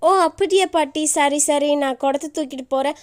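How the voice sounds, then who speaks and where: A woman voicing a timid, fretful young village wife in an animated story. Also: high-pitched, moderate pace, natural voice. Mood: chatty, uneasy. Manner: fretful, pleading, repetitive.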